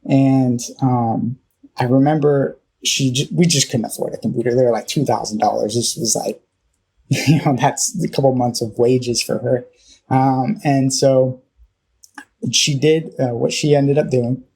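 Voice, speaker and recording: A man with a Californian accent tells his own story in a reflective, conversational way. His voice is mellow and noticeably husky at a middle pitch. He moves haltingly, often pausing partway through a phrase. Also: steady tone, poor audio. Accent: Californian American. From Southern California, United States. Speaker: interview guest.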